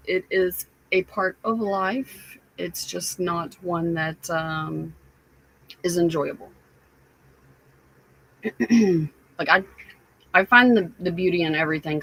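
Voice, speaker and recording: A woman live streamer chatting casually with her viewers, sounding stuffy and raspy, rambling with lots of fillers. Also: lowish voice, a bit bright, low-quality recording. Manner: slow, rambling, many fillers.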